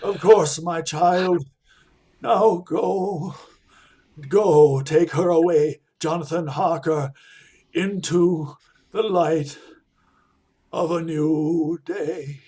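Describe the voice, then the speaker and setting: An actor playing a stern, righteous professor who hunts vampires, with a solemn, declamatory manner in a radio play. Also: male voice, a little high, fairly even intonation, extremely slow, pauses often, dark tone, husky, full character voice. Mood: solemn, reassuring. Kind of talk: old-time radio play acting.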